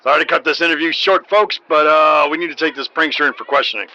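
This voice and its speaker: A man playing a brisk, official police deputy in an audio drama. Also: fairly high pitch, sharp tone, lo-fi sound. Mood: brisk, firm.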